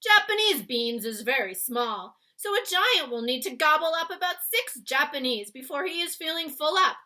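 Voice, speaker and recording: A woman's voice: high-pitched, lively intonation, normal speed, bright, forceful tone, pitched above the performer's own voice. A woman voicing a big, friendly male giant from a children's storybook, with muddled grammar and nonsense words in an exclamatory manner. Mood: explaining with relish. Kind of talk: read-aloud children's storybook character.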